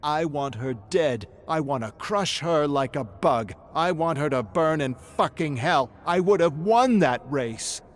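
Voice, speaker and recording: A man's voice: fairly high pitch, expressive, unhurried, hardly any pauses, pitched above the performer's own voice. A man voicing an angry, determined young woman athlete in an intense, emphatic way. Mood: furious.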